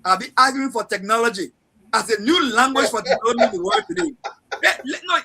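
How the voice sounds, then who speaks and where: A man's voice: very high voice, quick pace, vocal fry, pushed and bright, slight background noise. A man with a Liberian English accent arguing insistently in a panel debate, repeating his phrases and pushing his point over others.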